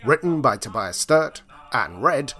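A man's voice: mid-range voice, very animated, unhurried, pushed and bright. A man reading a scripted comic murder mystery as narrator, in a droll, theatrical way. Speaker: story narrator and announcer. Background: music.

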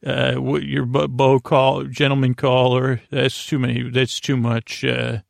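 A man with a creaky voice hosts a sleep podcast, rambling soothingly and a little goofily, stammering and wandering off into tangents. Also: mid-range voice, completely flat delivery, no room sound, clean studio sound. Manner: meandering, halting, stammering, trailing off into tangents; soothing, lulling, goofy. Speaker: podcast host. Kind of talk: rambling bedtime monologue to help listeners sleep.

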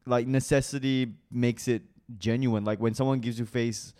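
A man in his thirties, a comedian from Malaysia raised in Singapore, speaking with an international school accent in animated, laughing chat full of swearing. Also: mid-range voice, normal speed. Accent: international school English. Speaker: comedian guest. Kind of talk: casual chat.

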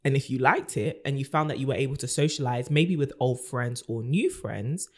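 A woman's voice: a bass voice, brisk. Young woman, podcast co-host, casual chat, laughs a lot, advice-giving. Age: young adult. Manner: laughs often, gives advice warmly and at length.

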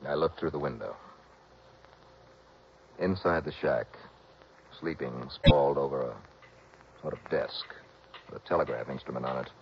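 An actor playing a tough male insurance investigator who narrates a 1960s radio drama in a brisk, clipped, hard-boiled way. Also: a bass voice, background noise. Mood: narrating. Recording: dull old radio broadcast with music.

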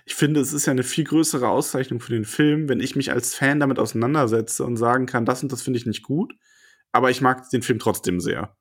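A man's voice: mid-range voice, brisk, husky. A man co-hosting a casual podcast, joking and giggling through the banter. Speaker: podcast co-host.

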